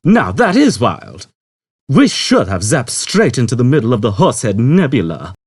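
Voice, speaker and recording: A brash, impatient space rogue played by a man for a sci-fi audiobook. He talks in slangy exclamations, drawling out words, raised in pitch and swooping, with a slightly rough edge. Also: huge pitch swings, normal speed, dry recording, full character voice. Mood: amazed. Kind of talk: audiobook character voice.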